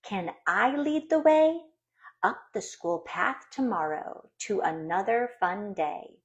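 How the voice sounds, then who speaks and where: A woman's voice: mid-range voice, expressive, slow pace, dull audio. A woman voicing an eager little girl, a proud younger sister, in a children's storybook read-aloud.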